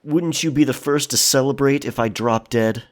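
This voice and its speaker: A man's voice: mid-range voice, normal speed, natural voice. A man playing an aloof, stoic pirate with a curt, sardonic manner in an audio drama. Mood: challenging. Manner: nonchalant, teasing, curt.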